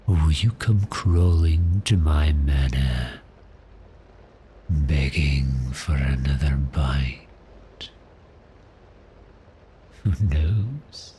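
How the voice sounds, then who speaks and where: A man voicing a suave, teasing vampire in an ASMR roleplay, speaking in a breathy, seductive half-whisper with polite menace. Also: extremely low pitch, animated, slow, dark tone, slight background noise, exaggerated voice. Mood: teasing, menacing. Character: cheerful predatory male vampire, suave and teasing. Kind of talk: ASMR roleplay, slow intimate monologue.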